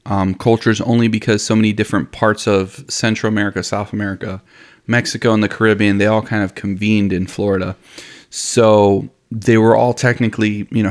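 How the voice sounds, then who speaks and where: A young man from Miami co-hosts a relaxed podcast chat. His voice sits fairly low, and he talks easily, dropping dude and you know into almost every thought and laughing often. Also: a little fast. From Miami, family from Honduras. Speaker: podcast co-host. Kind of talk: casual chat.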